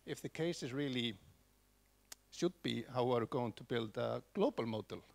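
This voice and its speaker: Halting man with a gravelly voice. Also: medium pitch. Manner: halting, many restarts and fillers. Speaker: conference audience member. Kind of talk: spontaneous comment from the floor.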